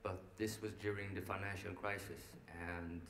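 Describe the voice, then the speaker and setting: Casual: man born in iran, grew up in sweden, calm prepared talk, echoey room w faint hum. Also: sits low, moderate pace. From Iran, raised in Sweden. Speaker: trainee program participant. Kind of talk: prepared personal account. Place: conference hall. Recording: echoey hall with faint background noise.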